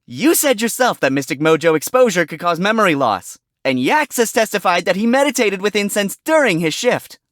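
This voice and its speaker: A man's voice: high voice, big pitch swings, fairly quick, few pauses, fairly bright tone, no echo, pitched above the performer's own voice. A man voicing a sarcastic, wisecracking male fox defense attorney with a dry, exasperated delivery.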